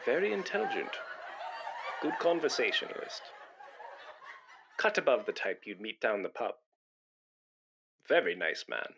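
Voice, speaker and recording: A man's voice: medium pitch, gravelly, a bit bright, a little hiss or hum, exaggerated voice. A man playing a pompous, self-important, easily aggrieved middle-aged man in a radio comedy. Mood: musing, let down. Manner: pompous, indignant, sententious. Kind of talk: British radio comedy acting.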